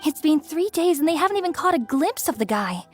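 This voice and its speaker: Game bystander: worried townswoman, high voice, gossiping anxiously. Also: sing-song, a little fast, soft background music. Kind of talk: video game voice acting.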